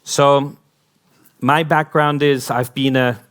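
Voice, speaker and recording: A man's voice: medium pitch, level tone, fairly bright tone. A man with an American accent, born in Hong Kong, giving a conference talk as a company founder, building up a string of rhetorical questions. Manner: slow, rhetorical, repeating "what if" questions. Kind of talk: conference speech.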